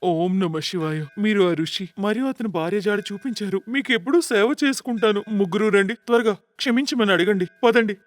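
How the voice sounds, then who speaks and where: A man's voice: sits high, normal speed, no room sound, natural voice. A man playing a devoted, anxious elder brother in a moral-story cartoon, earnest and pleading. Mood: devout, urging.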